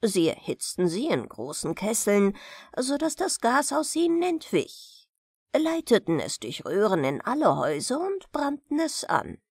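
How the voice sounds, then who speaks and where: Slow, very animated woman storyteller. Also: a little high, husky, no echo, clean studio sound. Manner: expressive, unhurried storytelling. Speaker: audiobook reader of fairy tales. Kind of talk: scripted bedtime fairy-tale narration.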